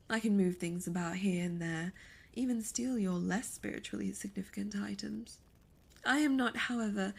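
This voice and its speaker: A woman voicing a seductive, imperious demon succubus in an ASMR roleplay, breathy and often whispering, with formal, teasing, menacing diction. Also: mid-range voice.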